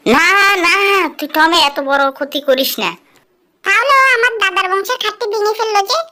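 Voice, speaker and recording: Squeaky, whiny little cartoon child mouse. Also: animated, moderate pace, bright, forceful tone, full character voice. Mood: panicked. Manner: whining, pleading, childlike. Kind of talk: cartoon dub.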